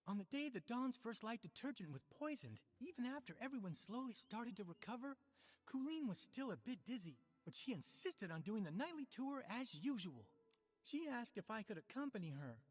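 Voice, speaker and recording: Earnest, measured young worker for a video game, mid-pitched, reverberant. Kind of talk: game voice acting.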